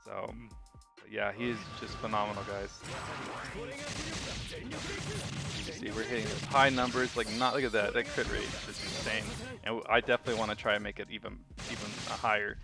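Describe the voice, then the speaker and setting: A man's voice: on the low side, expressive, moderate pace, gravelly, loud music behind the voice. A man giving casual, unscripted video game commentary, rambling and thinking aloud as host of the review.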